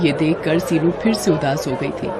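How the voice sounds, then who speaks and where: A woman's voice: low voice, moderate pace, a loud crowd, very noisy. A woman reading scripted narration for a cartoon story as the storyteller.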